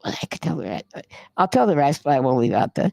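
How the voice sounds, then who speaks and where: A man's voice: medium pitch, sing-song, quick pace, vocal fry, warm tone, no echo. A man co-hosting a podcast, telling a long rambling anecdote in casual chat and swearing freely. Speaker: podcast co-host. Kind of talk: casual storytelling.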